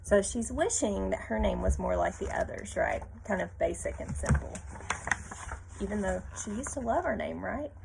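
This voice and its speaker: A woman reading a picture book aloud expressively, pausing to chat with and question young listeners. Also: mid-range voice, lively intonation, creaky, heavy background noise. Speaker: read-aloud teacher.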